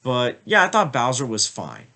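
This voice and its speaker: A man's voice: medium pitch, huge pitch swings, moderate pace, pushed and bright. A man with a slight cold, sniffling a little, giving a rambling, casual movie review with lots of filler words. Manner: rambling, many "like" and "I feel like" fillers. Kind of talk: casual spoken film review.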